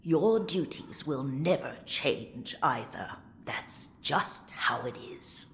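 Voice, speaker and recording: An actress playing a world-weary, scornful old hag in a video game cutscene, drawling and resigned. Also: female voice, very deep voice, animated, takes it slowly, noisy background, full character voice. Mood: bitter, resigned.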